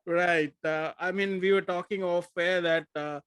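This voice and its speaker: A man's voice: high-pitched, fairly even intonation, fairly bright tone, smooth, no room sound. Man hosting a podcast over video call. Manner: halting, filler-heavy questions. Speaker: podcast host. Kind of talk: casual video-call interview. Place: home room with posters behind. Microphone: desk microphone on video call.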